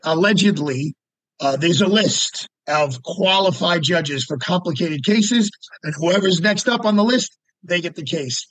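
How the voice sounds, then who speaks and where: A man's voice: sits high, unhurried, fairly bright tone, no echo, poor audio. A man, a lawyer guest talking casually and emphatically, often repeating words as he makes his point. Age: 50s. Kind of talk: casual podcast interview.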